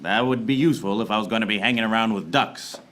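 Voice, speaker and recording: Guy doing deadpan hardboiled detective narration, lowish voice, radio noir spoof, bit of noise from live stage. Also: quick pace, lightly acted. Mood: wry narrating. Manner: deadpan pun-laden monologue. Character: wry hard-boiled noir private eye, parody narrator. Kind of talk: parody film noir radio serial narration. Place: small theater stage before live audience. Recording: noisy live theater stage recording.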